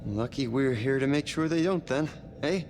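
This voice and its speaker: An actor playing a steady, by-the-book spaceship crewman in a sci-fi game, measured and procedural. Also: mid-range voice, a little hiss or hum, lightly acted. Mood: composed, reassuring. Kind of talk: video game voice acting, sci-fi horror.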